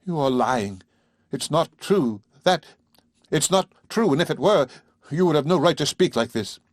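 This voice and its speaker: A man's voice: a little high, expressive, moderate pace, dry recording, studio quality, pitched above the performer's own voice. A man voicing a proud young wife, defiant yet shaken, speaking in a tense, clipped way.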